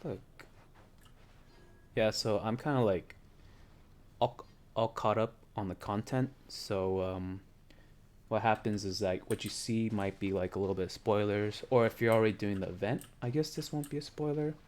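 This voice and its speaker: A man with a very low voice chatting on a live stream while gaming, rambling and hesitant with many fillers. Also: normal speed, darkish tone. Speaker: game streamer. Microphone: desk mic.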